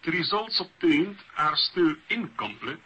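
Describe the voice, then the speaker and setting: A man's voice: fairly high pitch, expressive, a little slow, pushed and bright, slightly rough, dull audio. A man speaking formally and precisely as an academic panelist in a radio discussion.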